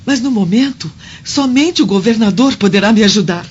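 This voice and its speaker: A woman's voice: mid-range voice, lively intonation, moderate pace, a little hiss or hum, natural voice. A woman playing a sincere, anxious but determined Roman senator's wife in a radio drama. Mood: anxious pleading. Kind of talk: Brazilian radio drama acting. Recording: dull lo-fi radio drama with music.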